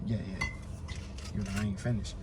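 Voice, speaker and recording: A man's voice: on the low side, little pitch movement, moderate pace. Podcast guest: American male, stutters and repeats words, emphatic slangy talk, some background noise. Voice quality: stutter, word and syllable repetitions. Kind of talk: casual chat, heavy slang and swearing.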